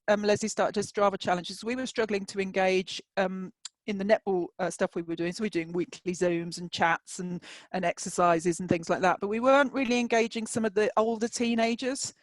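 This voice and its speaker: A woman's voice: mid-range voice, no room sound. A woman with a British accent co-hosting a casual group discussion. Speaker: podcast co-host.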